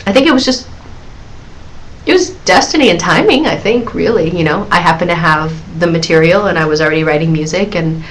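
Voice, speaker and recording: Chatty, giggly woman with a low voice. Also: fairly quick, a little hiss or hum, low-quality recording. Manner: chatty and giggly, with many fillers and restarts. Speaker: singer hosting a live Q&A stream. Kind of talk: casual live-stream Q&A.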